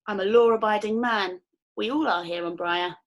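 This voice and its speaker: A woman's voice: mid-range voice, moderate pace, dull audio, natural voice. A woman voicing a sly island father who plays innocent in a folksy, evasive way.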